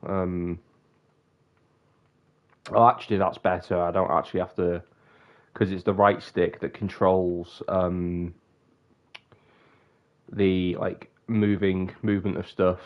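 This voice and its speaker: A man's voice: low voice, little pitch movement, takes it slowly, pauses often, lo-fi sound. A young man with a bunged-up, sniffly voice, rambling casually on a live stream and often breaking off mid-sentence. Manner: rambling, self-interrupting.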